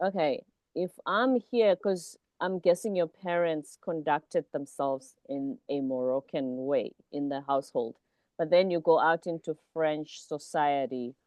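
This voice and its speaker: A woman's voice: sits low, measured pace, darkish tone, no echo. A woman hosting a podcast interview, engaged and emphatic, affirming her guest and asking questions. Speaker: podcast host. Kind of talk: interview conversation.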